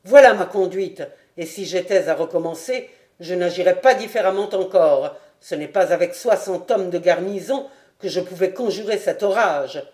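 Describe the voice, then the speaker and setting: A woman's voice: fairly low pitch, normal speed, close to an everyday voice. A woman reading aloud as a loyal male lieutenant who speaks firmly and with dignity. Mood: composed. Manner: firm, loud, dignified. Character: steadfast male lieutenant, cool then indignant.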